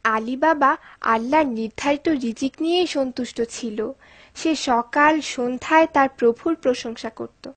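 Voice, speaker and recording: A woman's voice: high-pitched, unhurried, fairly bright tone, breathy edge. A woman reading scripted narration of a story for young children.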